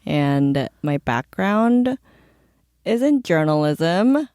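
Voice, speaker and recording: A Korean woman who grew up partly in Hong Kong talks slowly as a podcast guest. She giggles and hesitates, restarting her sentences. The sound is dry and close. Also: deep voice, big pitch swings, a little mellow, dry recording. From Korean, raised partly in Hong Kong. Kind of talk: casual podcast chat.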